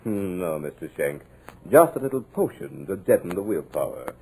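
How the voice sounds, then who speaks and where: An actor playing a cultured, sinister villain in a 1950s radio drama, with a husky, measured, mocking delivery in an old radio recording. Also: male voice, low-pitched, sing-song, mellow, exaggerated voice. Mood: menacing. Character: sinister, cultured male villain holding a writer captive.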